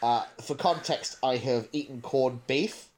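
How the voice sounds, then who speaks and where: A man's voice: mid-range voice, a little slow, darkish tone. A man with a British accent, chatting casually as a podcast co-host. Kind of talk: casual chat.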